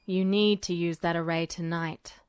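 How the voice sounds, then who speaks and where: A woman plays a weary officer in an audio story, his voice dropped low. He is dry and smirking, muttering his orders with unhurried authority, recorded close and dry. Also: fairly even intonation, clean tone, no room sound, close to an everyday voice. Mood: firm, amused. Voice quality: deep voice. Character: smirking, commanding military man, dry and weary.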